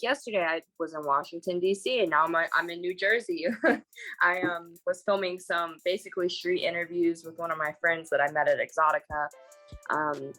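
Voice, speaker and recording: A woman's voice: lowish voice, fairly bright tone. A woman in her twenties from Florida with a Southern accent, answering interview questions in a laid-back, hesitant way from a hotel room. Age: 20s. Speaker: interview guest.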